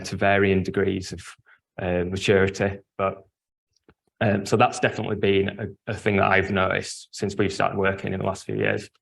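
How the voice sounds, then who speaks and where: A man's voice: low-pitched, gravelly. A man from Leeds with a Yorkshire accent, giving a conference talk in an earnest, explanatory way with frequent ums and restarts. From Leeds, England. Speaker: speaker at an industry event.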